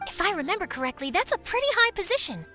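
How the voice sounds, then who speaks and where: A woman voicing a considerate, gentle young girl in an anime game. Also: very high-pitched, big pitch swings, quick pace, faint music behind, full character voice. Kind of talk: English dubbed anime game acting.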